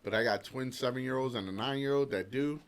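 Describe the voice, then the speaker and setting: A man's voice: mid-range voice, fast talker. A middle-aged man co-hosting a podcast, chatting casually in a plainspoken way. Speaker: podcast co-host. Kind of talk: casual chat.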